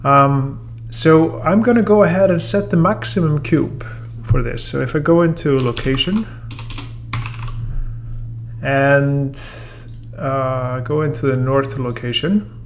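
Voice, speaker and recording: A man in his thirties with a gravelly edge, walking through software in a laid-back, explanatory way with many um's and uh's. His pitch sits in the middle range, and a faint hiss sits under the recording. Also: slow. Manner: relaxed and explanatory, with many fillers. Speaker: software trainer. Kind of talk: casual screen-recorded software tutorial. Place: room with a brick wall and whiteboard, then voice-over on screen recording.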